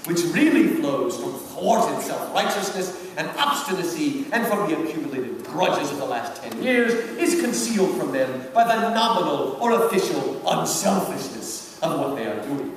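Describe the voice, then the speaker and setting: Pompous theatrical devil played by a man, echoing hall. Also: on the high side. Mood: haughty, instructing. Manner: theatrical, deliberate, sardonic. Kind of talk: dramatic reading of a satirical letter. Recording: distant, very echoey hall, background noise.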